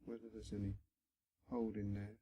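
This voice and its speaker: A man guiding a body scan meditation in a gentle, instructional manner, pausing often between short phrases, with a low, husky, creaky voice. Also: completely flat delivery, slight background noise. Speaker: meditation guide.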